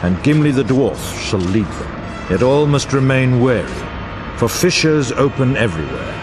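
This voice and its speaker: An actor playing a grave, wise war narrator in a fantasy video game, with dramatic, archaic and formal delivery over music. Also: male voice, medium pitch, very animated, moderate pace, natural voice. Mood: grave, stirring.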